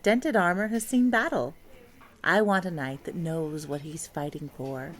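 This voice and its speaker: A woman playing a gentle, caring princess and wife in an audio drama, speaking softly and tenderly. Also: low-pitched, a little slow. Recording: clearly hissy recording.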